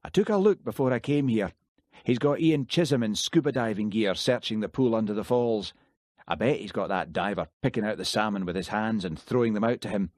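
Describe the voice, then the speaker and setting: A man's voice: on the low side, fairly quick, a little mellow, no room sound, pitched above the performer's own voice, lightly acted. Guy voicing gossipy local, mocks the cop, dry close. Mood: mocking. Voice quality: mocking, gossipy. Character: chatty male local, scornful gossip. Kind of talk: audiobook character voice.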